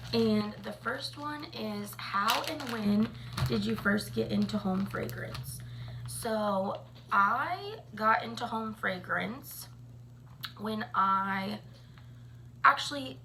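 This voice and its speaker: Chatty slow-talking woman, husky. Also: mid-range voice, background noise. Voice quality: slightly raspy, morning voice. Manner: chatty, rambling, many ums and likes. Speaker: vlogger. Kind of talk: casual chat to camera.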